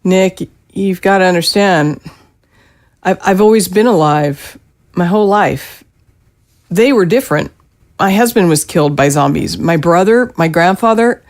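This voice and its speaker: A woman's voice: fairly low pitch, a little slow, lightly acted. A woman playing a newly undead, middle-aged mother in an audio drama, speaking hesitantly and a little defensively. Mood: defensive, somber.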